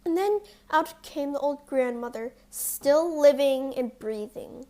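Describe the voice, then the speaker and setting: A woman narrating a scripted fairy tale for young children as a storyteller, reading deliberately. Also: sits high, mellow.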